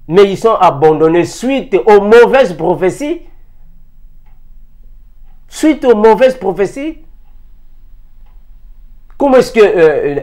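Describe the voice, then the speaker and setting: A man delivers a sermon. His voice sits a little high, and he drives his points home with repeated phrases and pointed rhetorical questions. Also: darkish tone. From Africa. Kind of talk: impassioned sermon addressed to fellow believers.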